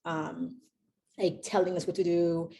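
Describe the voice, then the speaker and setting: A woman's voice: lowish voice, little pitch movement, unhurried, vocal fry, mellow, a little hiss or hum. A young woman from Haiti, a company founder, chatting as a podcast guest and rambling with many fillers and restarts. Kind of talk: casual interview chat.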